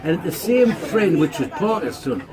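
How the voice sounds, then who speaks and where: Casting: middle-aged dad reminiscing casually, slightly high-pitched male voice, clear chatter around him. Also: big pitch swings, moderate pace. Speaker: father telling family stories. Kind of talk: casual anecdote-telling.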